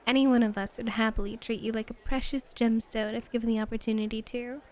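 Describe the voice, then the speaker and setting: A woman playing a flirty, teasing bunny-club hostess in an ASMR roleplay, with coy, drawn-out phrasing. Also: fairly high pitch, little pitch movement, natural voice. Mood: flirty, warm.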